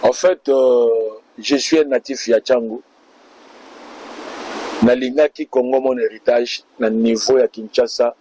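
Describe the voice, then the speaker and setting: A man's voice: medium pitch, fairly even intonation, measured pace, warm tone, slight background noise, poor audio. A man answering interview questions as a party official, speaking in a speechlike way to the public.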